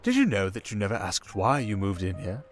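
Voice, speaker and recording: A man playing a suave, manipulative criminal mastermind in an intimate ASMR roleplay, with a coaxing, condescending, theatrical delivery full of drawn-out pauses. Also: lowish voice, wildly swooping pitch, full character voice. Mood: probing, smug. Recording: music behind the voice. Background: music.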